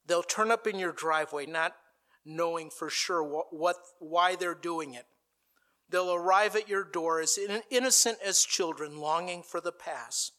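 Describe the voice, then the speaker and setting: Bright, high-voiced male pastor preaching. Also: measured pace. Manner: dramatic, builds to a stirring recited speech. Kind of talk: sermon. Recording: played from an archive recording.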